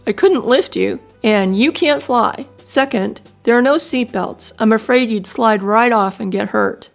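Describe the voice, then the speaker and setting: A woman voicing a protective adult horse in a storybook, speaking loudly and confidently at her own pitch. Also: measured pace, soft background music. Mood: friendly. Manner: firm, loud and confident. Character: steady, protective adult horse, calm and strong.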